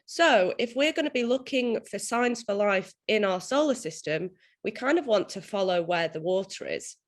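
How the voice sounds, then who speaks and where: Woman PhD student, a bit croaky, mid-pitched, public science explainer. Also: lively intonation, moderate pace. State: croaky from being unwell.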